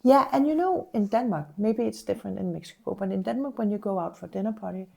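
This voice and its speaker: A woman career coach talks things through in a relaxed conversation. Her voice is gravelly and warm, with a mellow tone. She reflects calmly and leans on everyday examples. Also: medium pitch, fast talker. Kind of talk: casual conversation.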